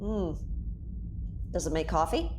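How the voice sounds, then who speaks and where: A woman's voice: on the low side, sing-song, unhurried, background noise. A woman playing a playful, teasing space explorer and ghost show host in an audio drama.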